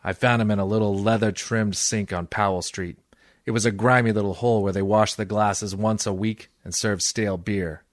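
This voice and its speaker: A man playing a wisecracking, cynical hard-boiled private detective, sardonic and deadpan in old-time radio style. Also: lowish voice, moderate pace, no echo, studio quality, natural voice. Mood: wry, weary narrating.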